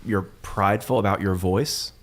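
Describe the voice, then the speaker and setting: A man's voice: on the low side, normal speed. A young man, a lead pastor, speaking earnestly and reflectively in conversation, with some restarts.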